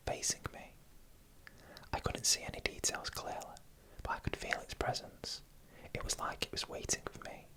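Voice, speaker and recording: A narrator reading scripted stories in an ASMR style, in a breathy, unvoiced whisper with hushed storytelling delivery. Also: poor audio.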